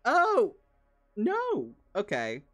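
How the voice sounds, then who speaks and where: A man's voice: very high-pitched, huge pitch swings, drawn-out words, music in the background. A British man reading a novel aloud on a stream, playfully stumbling over words and breaking off into chatty asides.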